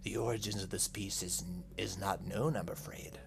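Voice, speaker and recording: Horror game villain type: sinister, hushed gentleman dealer acted by a man, low, breathy, politely formal. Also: steady tone, normal speed, background noise. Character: creepy, soft-spoken male art dealer.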